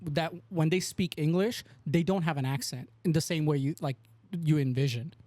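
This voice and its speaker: Man, american, podcast co-host, lightly high voice, dry close sound, teasing and slangy. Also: normal speed, no room sound. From United States. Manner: animated, says "bro" a lot, teasing. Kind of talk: casual chat.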